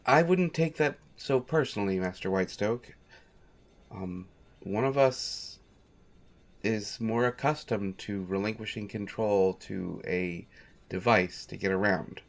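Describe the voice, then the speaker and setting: A man's voice: on the low side, unhurried. A man playing a devoted, patient manservant in an audio roleplay, polite and dryly wry, with hesitant phrasing. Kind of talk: scripted audio roleplay, speaking directly to listener.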